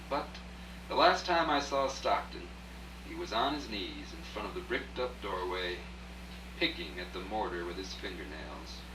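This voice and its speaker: A man's voice: medium pitch, lightly acted. A man playing a wry writer who narrates his own story in a radio play, confiding in the listener and putting questions to them. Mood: wry, resigned. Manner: confiding, rhetorical, addressing the listener directly. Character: wry out-of-work male writer turned rich storyteller. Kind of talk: live radio play, first-person dramatic narration. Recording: echoey distant live rehearsal, faint hum.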